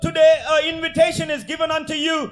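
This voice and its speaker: A man's voice: extremely high pitch, monotone, very slow. A man with a South Indian accent interpreting a sermon in an emphatic, solemn, declamatory way.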